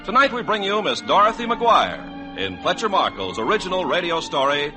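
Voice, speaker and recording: A man's voice: a little high, sing-song, normal speed. A man with an American accent announcing in a polished, emphatic, formal 1950s radio style. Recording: 1950s radio broadcast, music behind.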